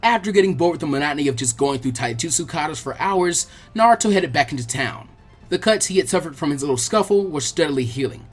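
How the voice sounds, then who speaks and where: A man giving an expressive scripted narration of a fan fiction story as an audiobook. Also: fairly high pitch, breathy edge.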